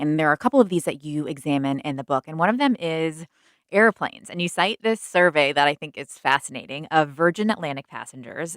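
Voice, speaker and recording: A woman hosting a radio interview, asking questions in a curious, measured way. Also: low voice, dry recording, clean studio sound. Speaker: radio host.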